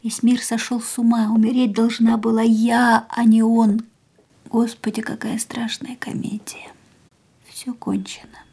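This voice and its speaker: An actress in a radio play, voicing a grieving young woman in a quiet, stunned inner monologue that often drops to a whisper. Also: a little high, little pitch movement, measured pace, vocal fry, slightly rough, heavily acted. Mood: whispering grief.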